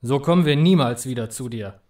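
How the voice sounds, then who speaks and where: A man plays a young troll from a storybook tale, cheeky and teasing, in a mid-pitched male voice. He sounds like a mischievous fairy-tale creature. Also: brisk, darkish tone, close to an everyday voice. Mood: resigned.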